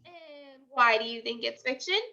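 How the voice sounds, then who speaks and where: A woman teacher reading aloud to young children in an expressive storytelling way, with deliberate pauses. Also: on the high side, gravelly, muffled recording. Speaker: elementary school teacher.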